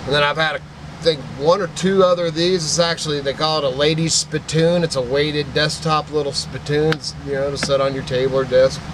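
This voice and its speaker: Idaho guy in his 40s rambling about thrift finds, kinda high voice, lots of fan hum in the room. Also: fairly even intonation, a little fast. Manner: rambling, sentimental, says "man" a lot. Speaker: vlogger, antique picker. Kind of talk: casual chat to camera. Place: cluttered indoor shop.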